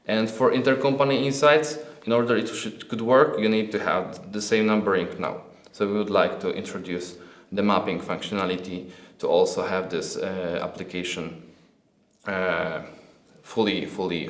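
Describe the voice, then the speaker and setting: A man giving a software product presentation as a non-native English speaker, hesitant and halting, often repeating words. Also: fairly low pitch. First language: not English. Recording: echoey, roomy sound.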